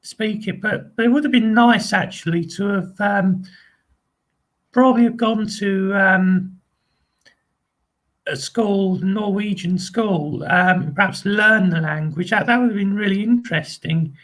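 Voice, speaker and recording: Chatty vlogger man, hesitant delivery full of ums, takes his time, pitched fairly high. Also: a little slow, fairly bright tone. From England. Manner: hesitant, many ums and restarts; rambling, repeats phrases like "really nice". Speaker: channel host. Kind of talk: casual live video chat.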